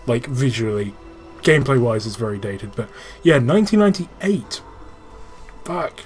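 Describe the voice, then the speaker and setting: A man's voice: medium pitch, lively intonation, normal speed, faint music behind. A man hosting casual gaming commentary, swearing freely and explaining things with enthusiasm. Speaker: host playing and explaining a video game. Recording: clearly noisy room recording.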